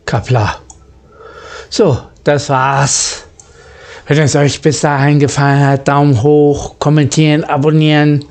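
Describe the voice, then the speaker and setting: A man's voice: fairly high pitch, level tone, a little slow, darkish tone. A man hosting a gaming channel, giving casual let's play commentary and reading game dialogue aloud.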